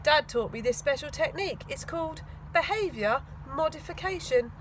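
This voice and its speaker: A woman's voice: high-pitched, expressive, moderate pace, a little hiss or hum. A woman voicing a cocky, teasing young know-it-all girl in a storybook, with a sarcastic manner. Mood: confident.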